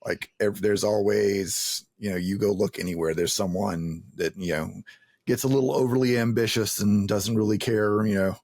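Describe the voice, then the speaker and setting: Husky low-voiced man. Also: fairly even intonation, a little mellow, dry recording. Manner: halting, wry, joking asides. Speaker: podcast interviewer. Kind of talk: casual chat.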